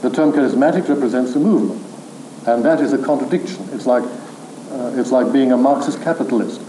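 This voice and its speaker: A man lectures in a mellow, dark voice, speaking in a measured, firm way. The sound is echoey with a faint hiss behind him, as in a hall recording. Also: mid-range voice. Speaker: theology lecturer. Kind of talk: lecture with questions from the floor.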